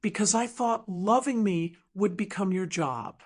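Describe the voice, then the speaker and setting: A woman playing a wounded, regretful woman in an audio drama, confessing in an earnest, halting, emotional way. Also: mid-range voice, natural voice. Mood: pained. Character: wounded, regretful woman confessing her fears to a lost love.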